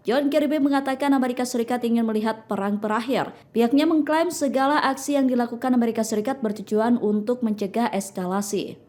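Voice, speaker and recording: A woman's voice: on the high side, darkish tone. A woman narrates news from a script.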